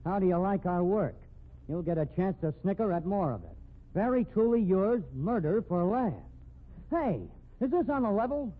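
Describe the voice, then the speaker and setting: A man's voice: sits high, lively intonation, moderate pace. An actor in a 1950s radio drama playing an earnest, dogged male amateur detective with an insistent, slangy way of talking. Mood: reading aloud. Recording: tinny old radio recording.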